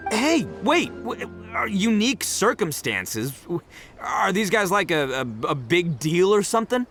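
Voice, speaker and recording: A brash young oni gang boss with a fairly high voice that leaps all over the place. He swaggers, laughs easily and stumbles over his words when caught off guard. Soft game music plays behind him. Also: sing-song, a little slow, heavily acted. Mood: flustered. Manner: loud, swaggering, laughs a lot, stammers when flustered.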